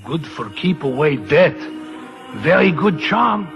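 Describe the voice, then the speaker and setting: Slow, gravelly, excitable Mexican man. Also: on the high side, highs cut off, exaggerated voice. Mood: awed, excited. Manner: broken English, exclamatory. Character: simple, superstitious, good-natured Mexican man. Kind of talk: 1940s radio acting. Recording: old radio broadcast, music behind.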